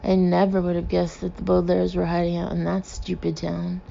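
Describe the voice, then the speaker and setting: A woman's voice: lowish voice, completely flat delivery, fairly quick, vocal fry, dark tone, muffled recording. A woman voicing a smug, scheming male villain in a storybook, greedy, impatient and condescending. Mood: boastful.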